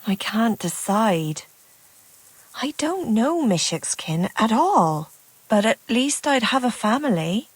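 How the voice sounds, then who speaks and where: A woman's voice: on the high side, sing-song, slow pace, breathy edge, dry recording, exaggerated voice, low-quality recording. A woman playing a stoic, world-weary widow in a video game cutscene, plain-spoken and resigned. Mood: torn, uncertain.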